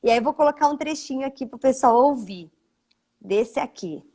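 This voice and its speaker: A woman's voice: high-pitched, animated, moderate pace, a little mellow. A Brazilian woman interviewer chatting casually with her guest over a video call.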